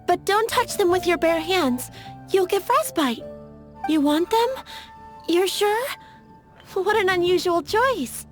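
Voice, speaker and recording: An actress playing an anxious, lovesick young flower seller who stammers when flustered. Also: female voice, very high voice. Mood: cautious. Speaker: flower shop owner. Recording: game cutscene with faint music behind.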